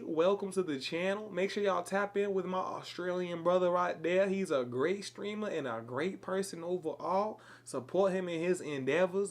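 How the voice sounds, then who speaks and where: Playful man streaming a game, high voice. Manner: playful, teasing, talks to chat by name. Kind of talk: casual live gameplay chat.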